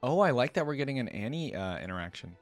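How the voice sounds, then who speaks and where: A man's voice: mid-range voice, very animated, quick pace, faint music behind. A man giving casual reaction commentary, musing aloud with curiosity as host.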